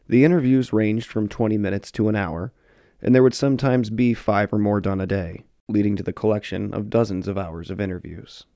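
Low gravelly male narrator. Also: mellow, no echo, studio quality. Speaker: documentary narrator. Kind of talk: scripted history narration.

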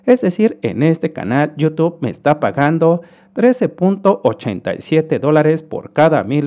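Male host, fairly high, animated delivery; friendly explainer for screen-capture commentary. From Mexico. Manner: friendly, explanatory, addresses viewers as dear friends. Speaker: YouTube earnings analyst host. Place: voice-over to a screen recording.

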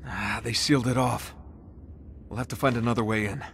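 An actor playing a wry, casual adventurer hero in a video game. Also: male voice, medium pitch, steady tone, a little fast, vocal fry, fairly bright tone, slight background noise. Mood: exasperated. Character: wry, exasperated male adventurer hero, thirties. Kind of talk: naturalistic video game acting.

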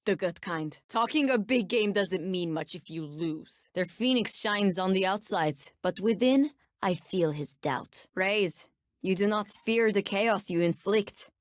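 An actress with a Turkish accent voicing a menacing, sardonic nightmare-wielding bounty hunter in a video game, speaking with cool, ominous deliberation. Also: female voice, mid-range voice, expressive, a little slow, no room sound, clean studio sound, lightly acted. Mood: wry, knowing. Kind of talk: video game character voice lines.

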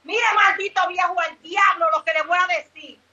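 A woman's voice: very high voice, steady tone, normal speed, bright, forceful tone. A middle-aged woman with a Dominican accent, arguing heatedly on the phone, indignant, emphatic and repeating herself. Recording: dull, band-limited phone line.

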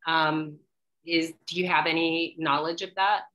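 Bright, forward-voiced woman asking. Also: low voice, fairly even intonation, a little slow, low-quality recording. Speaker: webinar attendee asking a question. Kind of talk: polite spoken question. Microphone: video call.

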